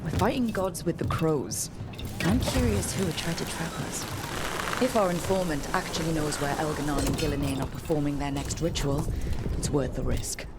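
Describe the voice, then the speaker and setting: A woman's voice: low-pitched, normal speed, very noisy. A woman playing a resolute, wry heroine in a video game, with a direct way of talking. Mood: determined. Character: resolute, wry young heroine fighting alongside rebels. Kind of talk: video game dialogue acting.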